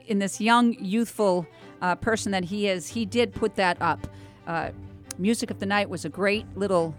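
A middle-aged American woman hosting a talk radio show in a chatty, animated way with emphatic asides and rhetorical questions. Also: mid-range voice. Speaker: radio host.

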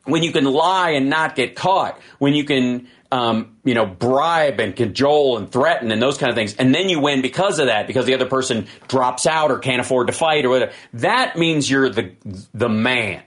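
A man filling in as host of a political talk radio show, talking fast and energetically with fillers and restarts. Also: medium pitch, lively intonation, fairly bright tone.

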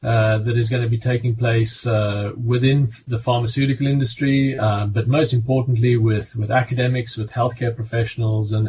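A South African man in his fifties, a medical director speaking from home over a video call, measured and halting with many restarts. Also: mid-range voice, darkish tone. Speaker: pharma medical director guest. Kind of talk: remote interview answers. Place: home office in the countryside. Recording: dull lo-fi call audio with faint room noise.

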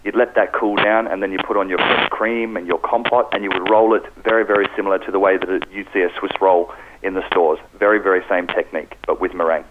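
A man's voice: low-pitched, fairly even intonation, quick pace. A man, a pastry specialist, explaining a recipe step by step and often repeating words. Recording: noisy crackling phone line.

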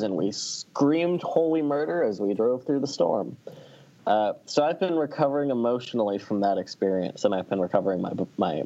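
A man's voice: mid-range voice, darkish tone. A man co-hosting a podcast, chatting casually and telling anecdotes in a wry, playfully dramatic way. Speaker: podcast co-host. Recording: slightly dull, lo-fi audio.

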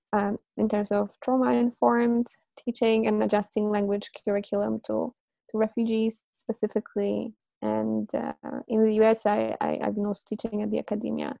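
A woman's voice: medium pitch, vocal fry, no room sound, poor audio. A woman in her thirties, a native Polish speaker, reflectively answering interview questions about teaching and learning, thinking aloud as she goes. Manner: reflective, thinking aloud with fillers and soft laughs. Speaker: interview guest, language teacher and psychologist.

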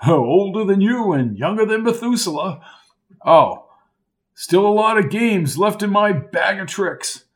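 A chipper, eccentric old college coach, as a male narrator puts him on, high and drawn-out in a jaunty sing-song. His voice sits well above a plain speaking voice. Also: animated.

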